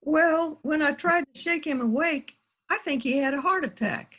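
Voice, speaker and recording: A woman's voice: high voice, moderate pace, fairly bright tone, dull audio. A woman playing a polite, composed housewife with a secret in an amateur readers theater rehearsal, speaking in a mannered way. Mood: innocent. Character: polite, outwardly innocent housewife, secretly a murderer.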